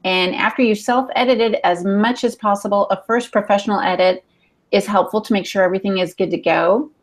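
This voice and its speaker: Woman giving casual podcast advice, medium pitch. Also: steady tone, a little fast. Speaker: podcast panelist and editor.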